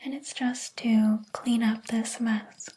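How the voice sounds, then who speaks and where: Casting: woman as obsessive, wounded hairdresser for ASMR roleplay; higher-pitched, theatrical, unhurried, hushed breathy whisper. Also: little pitch movement. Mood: disdainful, indignant. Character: jealous, possessive hairdresser, obsessed with favorite client.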